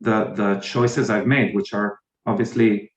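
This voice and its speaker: A man's voice: on the low side, steady tone, unhurried, echoey room. A man with an Israeli accent, answering interview questions hesitantly with many fillers and restarts. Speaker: guest, company founder.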